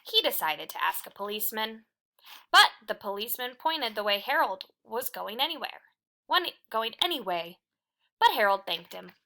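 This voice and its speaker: A woman reading a children's picture book aloud in an expressive storytelling style, with deliberate phrasing and dramatic emphasis. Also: on the high side, slight background noise.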